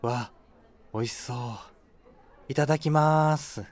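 A man's voice: a little high, slow pace, a little mellow. An actor playing a vague, socially awkward man on a date in a comedy audio drama, hesitant and noncommittal. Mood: oblivious.

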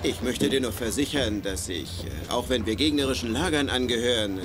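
A man's voice: mid-range voice, expressive, moderate pace, noisy background, lightly acted. An actor playing an awkward, mild-mannered nerdy man with a hesitant, wordy way of talking in a dubbed sitcom. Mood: awkward reassurance.

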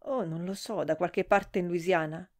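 A woman's voice: lowish voice, steady tone, normal speed, a little mellow, lightly acted. A woman reading uneasy, evasive Fanny. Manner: short, evasive replies.

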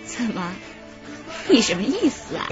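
A woman's voice: a little high, sing-song, a little slow. An actress playing a chatty, flirtatious and defensive dance-hall woman in a radio drama, a coy way of talking. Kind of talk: radio drama dialogue and recounting. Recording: slightly muffled, music underneath.

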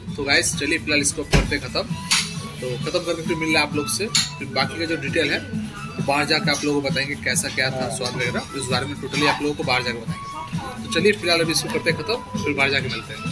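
Man, food vlogger, slightly high voice, loud music behind, chatty restaurant review. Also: fast talker, rough recording. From Kolkata, India. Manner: chatty, addresses viewers as friends, repeats phrases. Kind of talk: casual food review vlog. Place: restaurant dining room.

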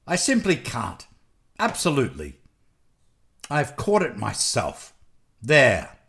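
A man's voice: mid-range voice, huge pitch swings, slow, a bit bright, full character voice. A man playing an exhausted, grumpy, feverish country doctor, muttering and stubborn, in a storybook reading. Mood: weary refusing. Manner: growling, muttering, weary.

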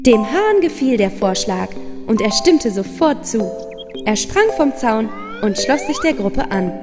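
A woman's voice: a little high, sing-song, unhurried. A woman narrating a scripted fairy tale for children as the storyteller. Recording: long reverb under prominent music.

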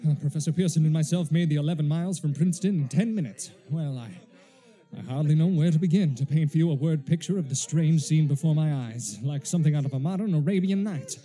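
An actor playing an earnest, excitable male news reporter in a 1930s-style radio drama, formal but prone to stammer. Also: fairly high pitch, fast, slightly rough, rough recording. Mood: flustered, awed. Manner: formal announcer delivery, stammering when flustered.

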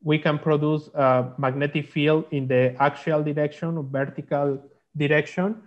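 A man explaining technical slides in halting, on a video call. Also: a little high, flat delivery. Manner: halting, non-native English phrasing. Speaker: guest, magnetics consultant. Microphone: video call microphone. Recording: dull lo-fi online call.

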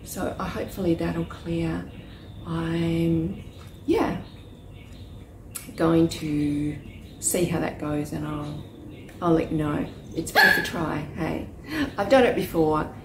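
Low, slightly croaky woman vlogging. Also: steady tone, slow, long pauses. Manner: rambling, chatty, many restarts and fillers. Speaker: psychic reader and vlogger. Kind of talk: casual check-in vlog. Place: small courtyard with potted palts, outdoors. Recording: echoey with loud ambient noise.

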